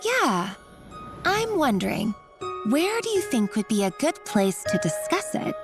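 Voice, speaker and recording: Game cutscene role: a soft, high-voiced young sweetheart, affectionate and playful, over clear music. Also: female voice, sing-song, a little slow, heavily acted. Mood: tender, teasing. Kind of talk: dramatic game cutscene acting.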